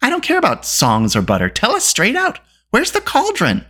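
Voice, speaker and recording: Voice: mid-range voice, huge pitch swings, a little fast, gravelly, a bit bright, voice pushed up, full character voice. A storyteller voicing an outspoken, tart-tongued teenage princess who teases and scolds with exclamations. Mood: indignant. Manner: exclaiming, teasing, indignant.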